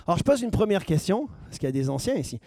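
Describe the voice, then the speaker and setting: A man's voice: sits high, very animated, racing, mellow, dry recording, clean studio sound. A man preaching as pastor, questioning his congregation and building to emphatic points. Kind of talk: sermon teaching.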